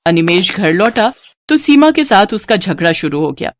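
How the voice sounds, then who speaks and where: A woman's voice: medium pitch, big pitch swings, moderate pace. A woman reads a scripted moral story as narrator. Her mid-range voice moves expressively up and down. The sound is very dry, with no room echo.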